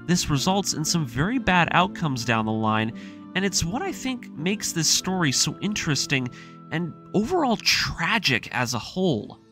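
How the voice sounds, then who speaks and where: A man with a slightly high voice narrates over clear background music. His delivery is lively and varied in pitch, earnest and emphatic, like scripted commentary on a story. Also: normal speed. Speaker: narrator of an anime story breakdown series.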